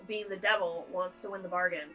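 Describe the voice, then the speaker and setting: A woman's voice: fairly low pitch, steady tone, brisk, bright, forceful tone, low-quality recording. A woman co-hosting a casual movie-watching chat, joking as she narrates the plot aloud. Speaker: co-host. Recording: piano music behind.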